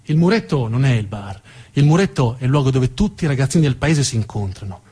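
A man narrating a theatrical monologue with expressive, dramatic storytelling. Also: mid-range voice, quick pace, breathy edge. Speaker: narrator and actor.

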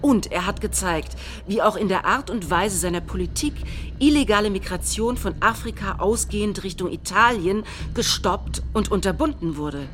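A woman acting in a radio play, reciting a politician's speech in a formal, measured manner. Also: medium pitch, animated, fairly bright tone, close to an everyday voice. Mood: formal, courteous. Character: formal politician welcoming allies at a rally.

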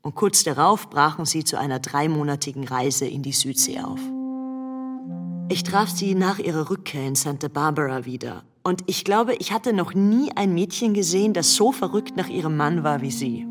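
Low-voiced, cool young society woman. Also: lively intonation, music in the background. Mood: confiding. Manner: confiding, measured storytelling. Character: cool, knowing young American society woman confiding a story. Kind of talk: German radio play acting.

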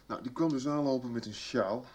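A man's voice: mid-range voice, fairly quick, a little mellow, lightly acted. An actor playing a young policeman son, talking colloquially in a Dutch radio soap. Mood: eager storytelling. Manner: colloquial, chatty. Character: young policeman son recounting his case to his mother.

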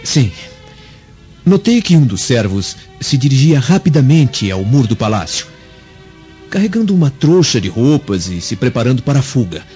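Cunning, vengeful young male servant, acted by a man, radio drama; mid-range voice, measured and deferential, muffled sound, soft score. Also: mid-range voice, very animated, natural voice. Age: young adult. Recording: muffled audio under background music.

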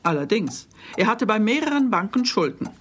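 Self-assured wife and client, average pitch, reserved, firm delivery for radio crime comedy. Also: female voice, big pitch swings, normal speed, slightly rough. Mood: firm, worried. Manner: matter-of-fact, firm. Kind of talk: radio play acting.

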